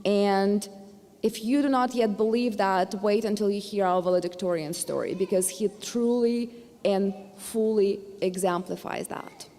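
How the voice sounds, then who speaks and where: A middle-aged woman with a Ukrainian accent delivers a commencement address on behalf of a university board. She speaks earnestly at a middling pitch, now and then stumbling into fillers and restarts. Her voice carries a long reverb from a large hall. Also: fairly even intonation, measured pace, dark tone. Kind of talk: formal commencement speech.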